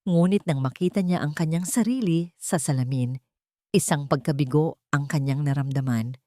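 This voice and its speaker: Woman reading a sad drama story, lowish voice, dry close sound. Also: normal speed, mellow, no room sound. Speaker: narrator. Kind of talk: scripted story narration.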